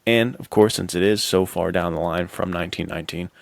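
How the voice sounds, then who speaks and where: A man with a very low voice and plenty of fry. He hosts a podcast and talks casually and keenly, in laid-back bro slang. The sound is dry and free of room echo. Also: fairly quick. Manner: informal, bro-ish slang, enthusiastic. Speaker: podcast host. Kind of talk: casual enthusiastic chat about adventure stories.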